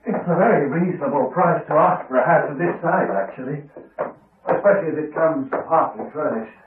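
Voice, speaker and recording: An actor playing an awkward, evasive, polite house agent with an English accent, hesitant and apologetic, in a radio drama. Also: male voice, fairly high pitch, a little fast, slight background noise, natural voice. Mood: hedging, salesmanlike. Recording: dull, band-limited 1980s radio recording.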